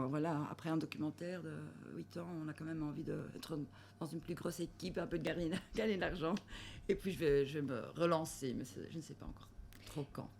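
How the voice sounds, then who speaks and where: Woman, gravelly voice. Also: low-pitched, a little mellow, slight background noise. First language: Dutch. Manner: searching for French words, laughing often. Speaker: film director guest. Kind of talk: casual interview chat.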